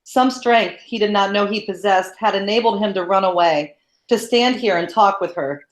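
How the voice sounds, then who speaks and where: A woman narrating a novel in a steady, measured read-aloud. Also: mid-range voice. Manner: steady, even, measured reading. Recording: roomy echo.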